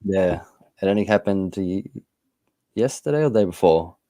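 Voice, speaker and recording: A man co-hosting a casual football podcast, talking through his team's form in a plain, unhurried way. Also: low voice, expressive, dark tone, no echo. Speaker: podcast co-host.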